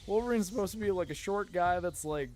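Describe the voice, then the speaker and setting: A hoarse man chatting as he streams a game, his talk rambling and loose with frequent laughs. His voice sits a bit high for a man, and faint noise is heard behind him. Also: fast, a little mellow. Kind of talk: casual gaming commentary.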